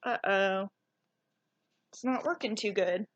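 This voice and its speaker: A woman's voice: medium pitch, slow, highs cut off, a little hiss or hum. A woman narrating a children's storybook aloud, telling it expressively and putting questions to her young listeners.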